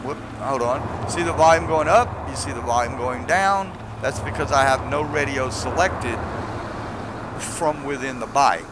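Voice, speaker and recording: A man's voice: mid-range voice, a little slow, background noise. A man giving a casual, unscripted video game tutorial, explaining step by step in a rambling way. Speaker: gamer giving a mod tutorial.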